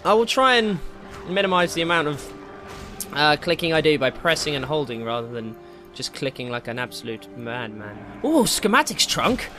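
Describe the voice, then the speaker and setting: A man giving casual, excitable commentary while playing a video game, jokey and slangy, with exclamations and swearing. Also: fairly high pitch, moderate pace. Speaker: gaming video host. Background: game music.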